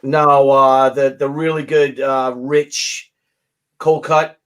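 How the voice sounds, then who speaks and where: A man hosting a casual chat with playful, halting banter. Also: mid-range voice, flat delivery, a bit bright, clear, resonant tone. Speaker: host.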